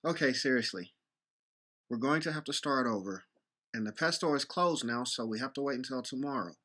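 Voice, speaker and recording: A woman's voice: a bass voice, expressive, a little fast, a bit bright, full character voice. A woman playing an exasperated, stern human father in an audio drama, scolding and emphatic. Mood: resigned.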